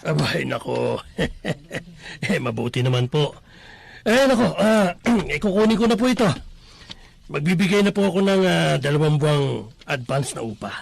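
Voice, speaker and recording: An actor playing an affable, deferential Filipino working man renting a room in a radio drama, chatty and chuckly, with a rough voice. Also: a little high, animated, moderate pace, heavily acted. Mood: cheerful, affable.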